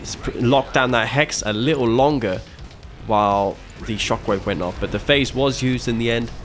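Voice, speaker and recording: A young man giving casual, animated play-by-play commentary on a strategy match he played, with frequent fillers and restarts. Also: medium pitch. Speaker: video game caster commentating his own match.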